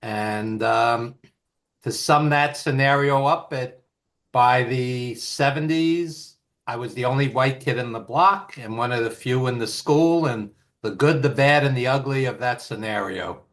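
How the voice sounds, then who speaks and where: Slow-talking older Brooklyn man. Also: mid-range voice, a bit bright. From East Flatbush, Brooklyn, New York. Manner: rambling, nostalgic, with fillers and restarts. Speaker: guest, author and filmmaker. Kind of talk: casual storytelling in an interview.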